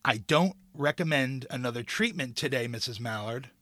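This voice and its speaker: A man playing a cautious doctor in an audio drama, formal, measured and cautioning. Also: medium pitch, animated, background noise, natural voice. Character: cautious, formal male doctor urging restraint.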